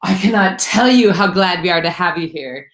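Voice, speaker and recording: Woman putting on a young hostel staffer: bubbly, excitable, pitched up, roomy sound. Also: normal speed. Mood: gushing. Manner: gushing, excited, high and loud. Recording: roomy echo.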